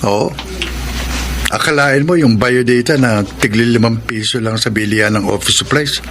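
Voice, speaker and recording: A man's voice: medium pitch, moderate pace, a bit bright, slight background noise, lightly acted. Nestor, an actor as an earnest working man. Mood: resigned. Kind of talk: Filipino radio drama acting.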